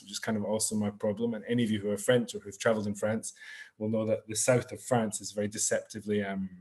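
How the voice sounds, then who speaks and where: Scottish man with a low voice. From Scotland. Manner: relaxed and chatty, sprinkling in French words. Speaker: tour guide. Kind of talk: live virtual tour presentation. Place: home in Marseille. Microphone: video call.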